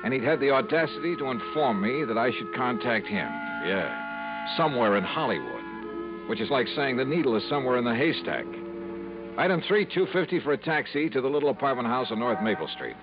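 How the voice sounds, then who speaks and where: An actor playing a wry, casual insurance investigator, probing and narrating in a 1950s radio drama. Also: male voice, mid-range voice, sing-song, a little fast, music in the background, natural voice. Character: wry, brisk male insurance investigator hero. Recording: muffled, noisy 1950s radio recording.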